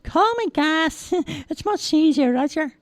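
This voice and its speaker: Woman voicing a high, giggling thumb-sized magical man for a storybook. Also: lively intonation, slow, no room sound, heavily acted. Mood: cheerful. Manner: chirpy, giggling. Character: tiny giggly magical man living in a heart.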